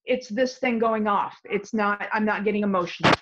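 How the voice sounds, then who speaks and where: A woman being interviewed at home in a casual chat. She answers slowly and hesitantly, with many fillers. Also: medium pitch, lo-fi sound, very noisy.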